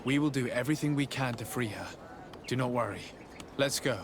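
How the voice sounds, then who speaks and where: A man's voice: mid-range voice, a little fast, noisy background, natural voice. A man playing a composed noble legate in a video game cutscene, speaking formally and politely. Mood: reassuring, sympathetic.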